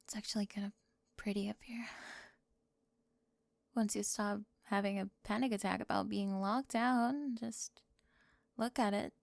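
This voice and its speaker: A woman performing a whispered ASMR roleplay, teasing and sharp-tongued, moving between whispers and soft speech into a close microphone. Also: medium pitch, fairly even intonation, a little slow, gravelly, pauses often, no room sound.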